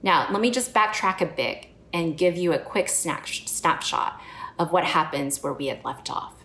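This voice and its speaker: A woman's voice: fairly low pitch, moderate pace, fairly bright tone. A woman from the United States preaching a sermon in an earnest, personal and reflective way. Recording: echoey room.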